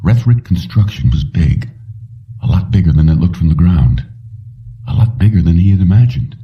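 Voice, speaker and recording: A man with a very low voice narrates an audiobook in measured, dramatic phrases. The recording is slightly muffled and sounds a little away from the mic. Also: lively intonation, recorded from a distance. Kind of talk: scripted narration of a suspense story.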